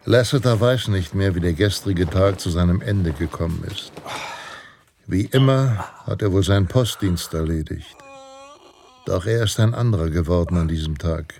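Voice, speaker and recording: An actor playing a solemn western storyteller, narrating in a deliberate, portentous manner. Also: male voice, deep voice, vocal fry, a little mellow, slight background noise. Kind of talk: dramatic scripted western narration. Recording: guitar music behind. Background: plucked guitar music.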